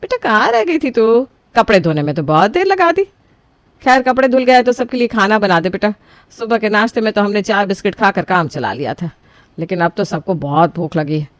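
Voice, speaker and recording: A woman's voice: medium pitch, lively intonation, a little fast, no room sound, lightly acted. A woman voicing a strict, frugal middle-aged mother-in-law who scolds and bosses her new daughter-in-law, in a dry close recording. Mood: instructing. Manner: scolding, bossy, calls everyone beta. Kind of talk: scripted family story voicing.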